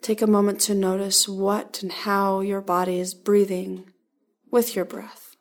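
A woman leading a guided body-scan meditation, giving calm, gentle instructions in short, spaced phrases. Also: medium pitch, a little slow.

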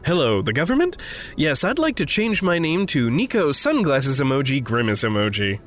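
A man acting in a naturalistic audio drama as a gentle, wry confidant with a halting, playful way of talking. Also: a little high, wildly swooping pitch, soft background music.

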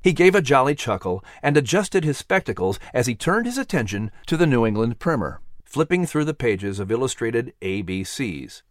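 A man's voice: mid-range voice, big pitch swings, moderate pace, few pauses, no echo, studio quality. A man giving scripted narration of a children's audiobook as the storyteller.

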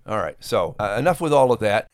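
A man's voice: on the low side, sing-song, a little fast, no echo. Middle-aged man, folksy host, close dry sound. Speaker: podcast host and ministry performer. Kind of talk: conversational appeal to listeners.

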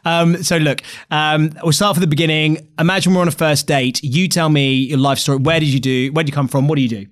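A man's voice: fairly high pitch, fairly even intonation, brisk, few pauses. A man hosting a startup podcast, teasing his guest in a chatty way, laughing often and restarting his sentences. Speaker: podcast host, startup founder. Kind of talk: casual interview chat.

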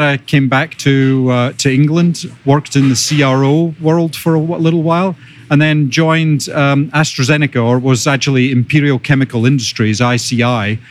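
A middle-aged Scottish man speaks as a guest on a podcast. His voice sits at a medium pitch, and he explains things in a measured, detailed way, like an experienced executive in conversation. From Scotland. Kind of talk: conversational interview answers.

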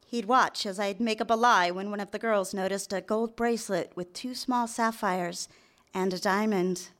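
An actress playing an eager, apologetic young secretary and secret mistress in a live radio drama, confiding and deferential. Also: female voice, medium pitch, steady tone, moderate pace, fairly bright tone, close to an everyday voice. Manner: deferential, hesitant in dialogue, confiding in narration. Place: live on stage in a theater.

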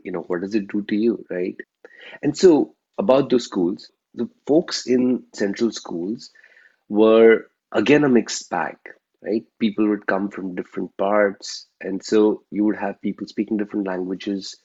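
A man's voice: on the low side, darkish tone, breathy edge, muffled recording. A man with an Indian accent, a native Telugu speaker, reflectively telling his own story as an interview guest and often tagging his points with a questioning "right?".

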